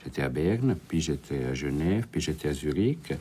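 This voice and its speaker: A man's voice: a bass voice, animated, moderate pace, dark tone. A man from Ticino, a cinematographer telling his career story in a radio interview, candid and sometimes trailing off. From Ticino, Switzerland. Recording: radio studio with faint background noise.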